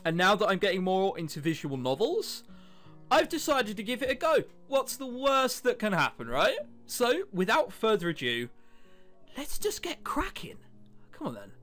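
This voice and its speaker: A gamer hosts a let's-play, reading story text aloud and breaking off for wry comments. The delivery is animated, with pitch moving around a lot. Game music plays behind the voice. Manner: self-interrupting, wry joking asides. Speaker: host, let's-player. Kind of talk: casual gameplay commentary mixed with reading visual novel text aloud.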